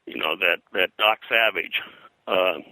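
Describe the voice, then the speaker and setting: Very deep, forceful older man. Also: no room sound, low-quality recording. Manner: halting and digressive, frequent throat clearing. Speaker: guest, pulp and comics historian. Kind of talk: interview conversation, reminiscing about his work.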